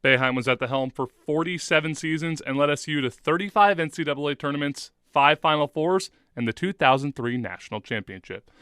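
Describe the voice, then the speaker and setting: A man's voice: a little high, fairly quick, hardly any pauses, fairly bright tone, clear, resonant tone, no room sound, clean studio sound. A man reading a scripted sports radio report as the reporter.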